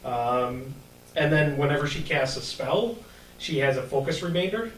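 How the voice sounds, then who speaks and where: A woman's voice: low voice, normal speed, a little hiss or hum. A woman co-hosting a podcast, casually explaining game details. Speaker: podcast co-host. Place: small room, round table. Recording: echoey small room.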